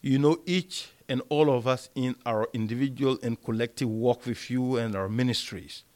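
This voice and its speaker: A man preaching a sermon and leading prayer in a small church, speaking emphatically with a strong voice that rises loud on key words. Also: mid-range voice, normal speed. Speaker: pastor.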